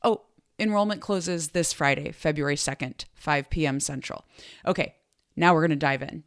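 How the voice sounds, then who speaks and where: A woman in her forties hosting a podcast, upbeat and chatty, her voice still hoarse from being sick. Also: sits low, normal speed, no echo, clean studio sound. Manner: upbeat, chatty, self-promoting. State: hoarse after illness. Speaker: podcast host. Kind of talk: podcast intro and promo.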